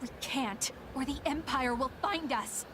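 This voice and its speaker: A woman's voice: high voice, a little slow. An actress playing a level-headed young female scout who speaks firmly. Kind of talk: game voice acting. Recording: game audio with wind ambience.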